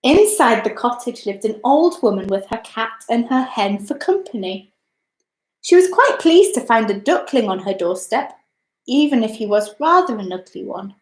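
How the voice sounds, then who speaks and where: A woman narrates a children's story expressively and deliberately. Her voice is fairly high, with very lively intonation, and the room around it is audibly echoey. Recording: echoey, roomy sound.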